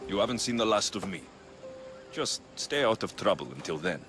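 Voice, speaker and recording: An actor playing a young, stoic, gruff mercenary in a video game, speaking in a terse, restrained way. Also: male voice, fairly low pitch, animated, brisk, a bit bright, soft background music. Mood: subdued, gentle. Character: gruff stoic male mercenary, young, world-weary but kind. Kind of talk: cinematic video game acting.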